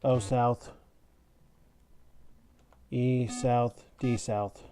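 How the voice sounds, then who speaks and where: Male streamer, relaxed dark tone, flat delivery, narrating his gameplay in terse commands and casual asides. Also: mid-range voice, very slow, slight background noise. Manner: clipped short command phrases mixed with casual explanation. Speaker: live streamer playing an air traffic control game. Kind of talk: live gameplay commentary, calling out commands.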